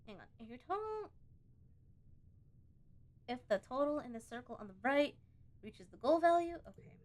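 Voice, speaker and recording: A woman doing a casual let's play, reading on-screen game text aloud and breaking off to think out loud. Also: high voice, sing-song, normal speed. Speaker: gaming video host.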